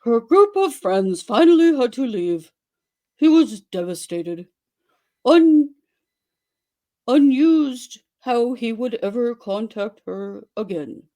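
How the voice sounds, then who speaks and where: Slow, high-voiced woman reading dramatically. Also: lively intonation, warm tone. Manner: slow, exaggerated delivery with dramatic pauses. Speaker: dramatic reader. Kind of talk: theatrical dramatic reading of a story.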